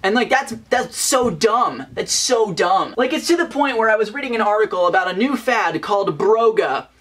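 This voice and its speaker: A man's voice: high-pitched, animated, moderate pace, a bit bright. A young man with a light, feminine-sounding voice, ranting chattily and playfully as a vlogger. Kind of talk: casual opinion vlog.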